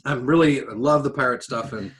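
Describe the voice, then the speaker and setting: A man speaks in a middle-pitched, everyday voice as a podcast co-host. He is enthusiastic and conversational about pop culture, and drops casual swearing into his talk. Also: fairly quick. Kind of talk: casual chat about games and films.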